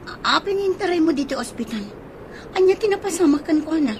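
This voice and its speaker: A woman's voice: very high-pitched, lively intonation, fast talker, noisy background. A woman playing a polite, concerned young nurse in a radio drama. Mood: surprised, concerned.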